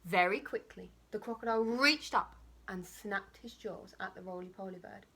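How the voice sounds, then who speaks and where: Female storyteller for primary-age listeners: bright tone, medium pitch, emphatic and expressive narration. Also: moderate pace, breathy edge. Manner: emphatic, dramatic phrasing. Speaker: primary school teacher reading aloud.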